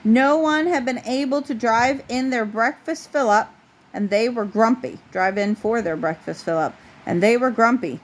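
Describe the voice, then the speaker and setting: A woman with a fairly high voice narrates a children's picture book. She reads it aloud in the style of a parent at bedtime. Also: normal speed. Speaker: parent reading a bedtime story. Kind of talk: storybook read-aloud narration.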